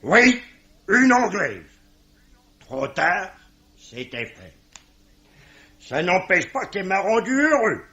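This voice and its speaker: A man's voice: high voice, very animated, slow, pauses often, bright, forceful tone, heavily acted. An actor playing a proud, pugnacious, good-hearted middle-aged Irish father, talking colloquially in a 1950s-style French radio drama. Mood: proud, excitable. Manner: colloquial, hesitant blustering. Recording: dull vintage radio recording.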